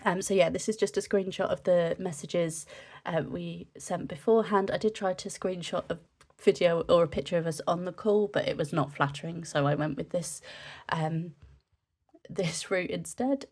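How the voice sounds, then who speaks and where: A young woman from the UK, a scrapbooking vlogger narrating casually, her voice nasal from a cold, chatting in a relaxed way with frequent ums. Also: fairly low pitch, moderate pace. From United Kingdom.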